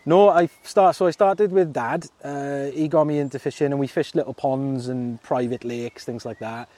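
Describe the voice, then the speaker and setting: A man with a South Wales Merthyr accent talks in a loose, easygoing interview. His voice is mellow and dark, and he keeps dropping in little laughs, fillers and restarts. The pitch sits in an ordinary middle range for a man. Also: fairly even intonation, normal speed. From Merthyr Tydfil, Wales. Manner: chatty, laughs often, many fillers and restarts. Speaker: fishing club secretary, guest. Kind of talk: casual interview chat.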